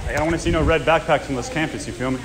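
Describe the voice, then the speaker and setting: A man's voice: on the high side, rapid-fire, voice pushed up, lightly acted. Big Red, a man playing a brash campus gangster. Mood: cocky. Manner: cocky, boastful street slang. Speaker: prank host. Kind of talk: hidden-camera prank character. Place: outdoors on campus and in a gym.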